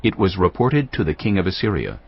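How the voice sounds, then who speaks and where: A man narrating dramatised scripture from a script in a grave, measured, dramatic manner. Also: sits low, sing-song, husky. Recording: dull audio, music underneath.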